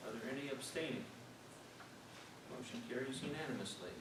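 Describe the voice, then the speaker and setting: A man's voice: mid-range voice, fairly quick. A man chairing a board meeting in formal, formulaic procedural phrases, recorded from a distance in a meeting room. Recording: noisy echoey meeting room, distant microphone.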